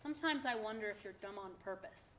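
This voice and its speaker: An actress in a staged play reading, playing a brusque, sarcastic government social worker who talks in a blunt, hurried way. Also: female voice, medium pitch, very noisy, lightly acted.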